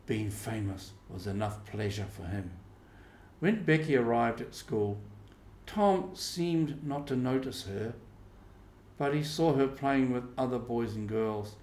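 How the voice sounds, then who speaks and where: A man narrating a storybook in deliberate, measured phrases, as an audiobook reader. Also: fairly low pitch, darkish tone, roomy sound. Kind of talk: slow scripted storybook narration with acted dialogue.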